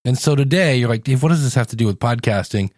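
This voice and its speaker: A man's voice: medium pitch, lively intonation, fast talker, a little mellow, no echo, clean studio sound. An American man hosting a solo podcast, coaching listeners in a conversational, direct way. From United States, Ohio. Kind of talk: solo podcast talk, teaching.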